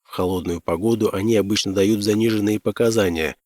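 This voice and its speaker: A man's voice: fairly low pitch, steady tone, fast talker, darkish tone, no echo. A man reading scripted first-person fiction as an audiobook narrator.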